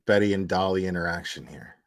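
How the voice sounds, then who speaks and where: A man's voice: deep voice, flat delivery, quick pace. A man hosting a livestream, giving casual, slangy and sarcastic commentary on clips in an amused way. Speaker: livestream host reacting to clips.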